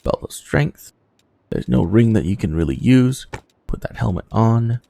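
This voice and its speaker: A man's voice: on the low side, expressive, a little slow, creaky, warm tone. A man giving casual playthrough commentary as a gaming host, thinking aloud and swearing casually as he goes.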